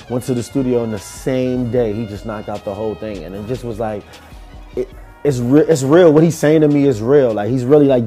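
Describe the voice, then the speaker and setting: Smooth-voiced young New rapper. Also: male voice, medium pitch. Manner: loose, rambling, animated storytelling. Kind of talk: casual interview talk.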